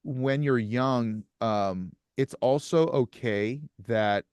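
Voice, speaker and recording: A man in his thirties, a musician guest in a casual interview, soft-spoken and halting, with frequent restarts and small chuckles. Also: mid-range voice, clean tone, no room sound. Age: 30s.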